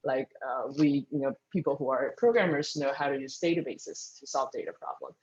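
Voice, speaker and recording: A speaker answers interview questions at a mid pitch, thinking aloud with frequent ums and restarted sentences. The audio sounds dull and lo-fi. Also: a little fast, a little mellow, slightly rough. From Taiwan. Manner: thoughtful, lots of ums and restarts. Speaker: guest speaker, engineering manager.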